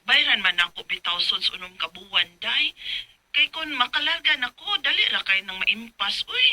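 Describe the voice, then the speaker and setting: An actress playing a brazen, wheedling, hot-tempered elder sister in a radio drama, with an emphatic, insistent delivery. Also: female voice, on the high side, very animated, moderate pace, pushed and bright, no echo, full character voice, poor audio. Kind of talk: Filipino radio drama acting.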